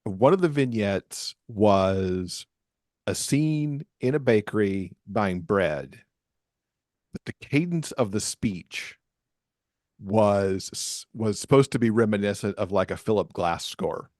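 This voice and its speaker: Man, low bass voice, dry close sound, casual podcast guest, self-deprecating. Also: lively intonation, takes it slowly, a little mellow, dry recording. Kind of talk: casual chat.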